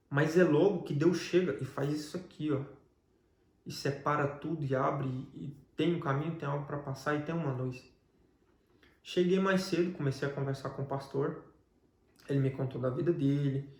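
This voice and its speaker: Higher-pitched Brazilian man in an echoey room. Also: a little mellow. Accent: Brazilian Portuguese. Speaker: guest telling his life story. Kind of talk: personal religious testimony, conversational storytelling.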